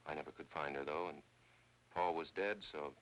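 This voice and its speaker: Deep-voiced, blunt, skeptical fiancé. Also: male voice, fairly even intonation, fairly quick, fairly bright tone. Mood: wry, scornful. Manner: blunt, sarcastic. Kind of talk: 1940s film noir acting. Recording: faintly noisy old film soundtrack.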